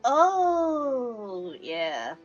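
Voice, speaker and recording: A woman streaming a game, reacting casually out loud to the story. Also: on the high side, big pitch swings, very slow, lo-fi sound. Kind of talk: casual live reaction commentary. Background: game music and dialogue.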